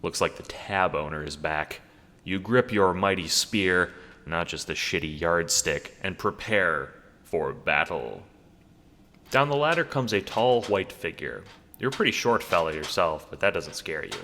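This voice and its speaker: A man's voice: low voice, expressive, unhurried, pushed and bright, in a large hall. A man narrating a scripted audio drama as a wry, theatrical storyteller addressing the listener.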